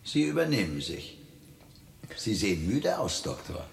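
An actor playing an obsessive, courteous asylum patient who pleads formally and insistently in a radio horror drama. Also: male voice, on the low side, very animated, unhurried, vocal fry, full character voice. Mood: courteous, pleading. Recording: roomy echo, faint music behind.

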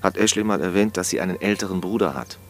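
A man voicing a dry, teasing but lenient policeman in an audiobook, matter-of-fact and deadpan, with faint noise behind. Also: low voice, fast. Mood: probing.

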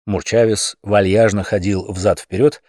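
A man narrating a satirical fairy tale as an expressive audiobook storyteller. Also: on the low side, unhurried, dry recording, studio quality.

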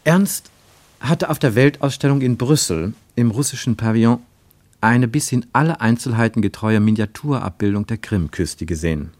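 A man narrating a radio-play travelogue in a measured, expressive storytelling manner. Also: mid-range voice, no room sound.

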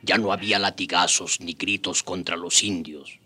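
A man's voice: deep voice, fairly even intonation, normal speed, bright, forceful tone. A man narrating a radio drama in scripted storytelling style. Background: clear background music.

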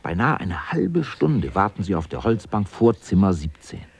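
A man's voice: sits low, very animated, moderate pace, vocal fry, full character voice. A man narrating a scripted children's radio play as an observant storyteller.

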